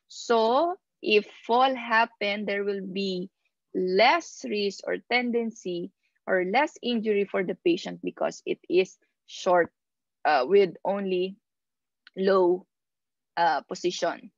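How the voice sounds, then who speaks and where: Slow, halting woman lecturer, dull audio. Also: medium pitch, dry recording. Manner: halting, pausing mid-phrase. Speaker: instructor of nursing assistant course. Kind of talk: slide lecture, reading points and explaining them. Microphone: video call.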